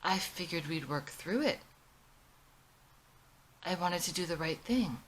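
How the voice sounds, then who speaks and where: A woman's voice: on the low side, expressive, unhurried, a little hiss or hum. A woman reading the part of an anxious, disillusioned young photographer in a drama script, hesitant and emotional. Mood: quietly hurt.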